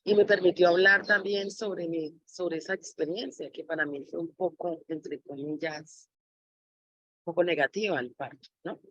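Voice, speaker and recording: A woman's voice: lowish voice, fairly even intonation, moderate pace, fairly bright tone, dry recording, lo-fi sound. A woman speaking reflectively as an interviewee, sharing personal experience as a mother. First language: Spanish.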